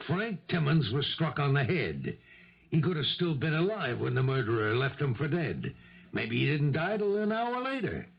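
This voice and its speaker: An actor in a 1970s radio drama playing a skeptical, world-weary police lieutenant with a gravelly voice, reasoning firmly. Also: male voice, a little high, very animated, normal speed, heavily acted. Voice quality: gravelly, husky. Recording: dull, lo-fi old radio recording.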